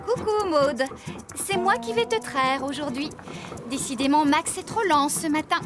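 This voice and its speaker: Young woman farmer, very high voice, brisk, caring, animated children's cartoon delivery, music underneath. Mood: busy, concerned. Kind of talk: French children's cartoon dubbing.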